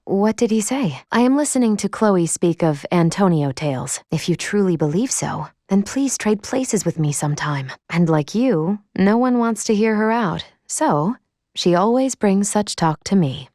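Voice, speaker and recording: A woman voicing a composed female android in a video game, speaking formally and precisely with a measured, deadpan delivery and dry care. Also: medium pitch, no room sound, natural voice. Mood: dry, exasperated. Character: composed female android crew member, formal and dryly caring. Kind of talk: scripted video game voice acting.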